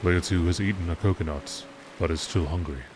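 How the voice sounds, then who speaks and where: Deep-voiced man narrating, documentary style. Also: fairly quick, background noise. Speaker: narrator reading game lore.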